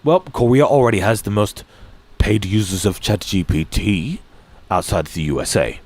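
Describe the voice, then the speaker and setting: A man with a somewhat low voice reads articles aloud for his stream. He stumbles, restarts phrases and clears his throat often. Now and then he drops a dry, offhand comment between quotes. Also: normal speed, a little mellow. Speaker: streamer reading news aloud. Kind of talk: reading articles aloud with asides.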